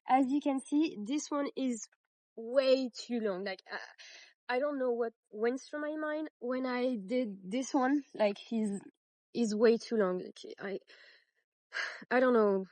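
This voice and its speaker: Slow, hesitant French-accented woman. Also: a little high, dry recording, rough recording. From France. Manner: hesitant, many fillers and restarts, laughs at herself. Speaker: crochet tutorial host. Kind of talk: casual tutorial talk.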